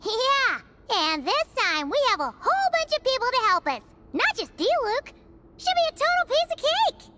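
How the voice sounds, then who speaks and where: A woman voicing a tiny, excitable, childlike fairy companion in a video game, with a squeaky, piping voice. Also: moderate pace, background noise. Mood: upbeat.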